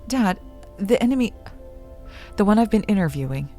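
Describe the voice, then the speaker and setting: An actress playing an earnest, yearning young daughter in a radio drama. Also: female voice, mid-range voice, lively intonation, moderate pace, dark tone, soft background music, lightly acted. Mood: urgent, pleading. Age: young adult.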